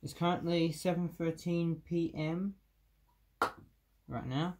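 A man's voice: fairly high pitch, steady tone, takes it slowly. A man hosting a casual hands-on demo, thinking aloud in short, unscripted fragments. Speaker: video host testing speakers. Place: indoor room, seated on floor by speakers. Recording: clear background noise, clicks.

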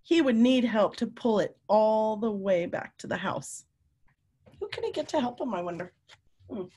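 A woman in her fifties reading a story aloud to young children, with animated, drawn-out emphasis and questions to her listeners. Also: a little high, slightly rough. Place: room at home.

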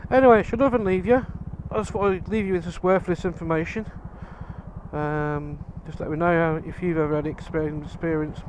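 Grumbling biker on the road. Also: male voice, a little high, fairly even intonation, brisk, poor audio. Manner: grumbling, chatty, sighs and swears at traffic. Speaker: motorcycle vlogger. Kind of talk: casual rambling chat while riding. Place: outdoors on a motorbike in road traffic. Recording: wind and engine noise from riding. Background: traffic and engine noise.

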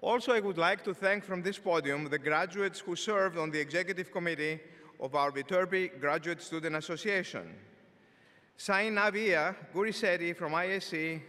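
An older man, a dean, giving a formal commencement address from a podium, speaking in a measured, deliberate way with the odd filler word. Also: fairly high pitch, sharp tone. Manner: measured, deliberate delivery with occasional filler words. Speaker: engineering school dean. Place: large arena stage at a podium. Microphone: podium microphone. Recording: long reverb of a large arena.

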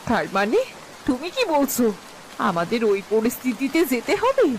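A woman's voice: a little high, wildly swooping pitch, normal speed, a little hiss or hum, poor audio. A woman voicing a proud, scolding village wife in a folk-tale cartoon. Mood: defiant. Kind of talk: dramatic cartoon dialogue. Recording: music and rain under dialogue.

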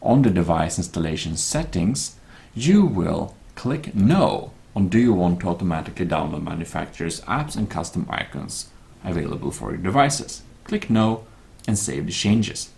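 Man, low creaky voice, lively pitch movement, instructional tutorial voice-over, plain-spoken. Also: moderate pace. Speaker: tutorial presenter. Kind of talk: step-by-step software tutorial narration. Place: voice-over screen recording.

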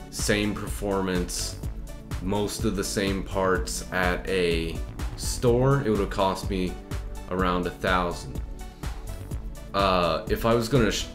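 Young man, casual vlog, webcam, roomy bedroom echo, rambling, lots of fillers. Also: sits low. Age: young adult. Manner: relaxed, rambling, frequent fillers and restarts. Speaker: vlogger answering viewer comments. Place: bedroom with shelves, indoors.

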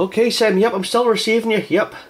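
A man's voice: sits high, steady tone, quick pace, background noise. A man operating an amateur radio, chatting casually with another operator over a two-way link.

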